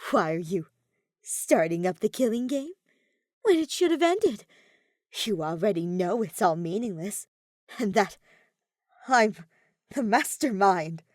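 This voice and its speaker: A woman's voice: mid-range voice, lively intonation, measured pace, long pauses, a little mellow, no echo, studio quality. A woman voicing a taunting young male schemer in a theatrical, mocking manner. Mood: bitter taunting.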